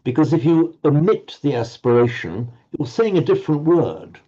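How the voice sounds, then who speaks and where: A man teaching Sanskrit pronunciation in an online group lesson, halting and restarting words, encouraging his students. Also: a little high, expressive, warm tone, breathy edge, dull audio.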